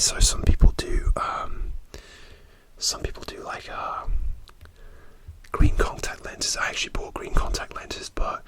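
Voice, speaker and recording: Whispering man, soft ASMR. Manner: hesitant, rambling with many fillers and restarts; soft, relaxed whisper throughout. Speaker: ASMR creator.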